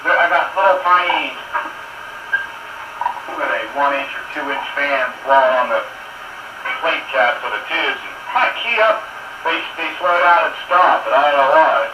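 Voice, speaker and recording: A man's voice: a little high, normal speed. Distant, echoey man chatting through noise. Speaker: radio hobbyist. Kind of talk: casual technical chat. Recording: noisy, roomy, distant radio pickup.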